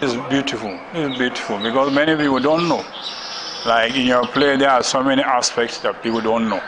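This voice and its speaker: A man's voice: mid-range voice, brisk. A man with a Nigerian accent giving informal remarks, repeating phrases for emphasis. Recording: noisy location with birdsong.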